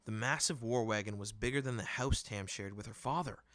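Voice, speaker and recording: A man giving an expressive scripted narration of fantasy fiction, as an audiobook reader. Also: on the low side, a little fast, no echo.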